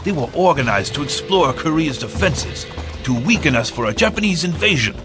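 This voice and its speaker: An actor playing a resolute, authoritative admiral in a dramatic game cutscene, speaking deliberately. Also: male voice, fairly high pitch, very animated. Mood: grave, urgent. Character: commanding, resolute Korean admiral. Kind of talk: dramatic scripted game acting. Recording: loud music behind the voice.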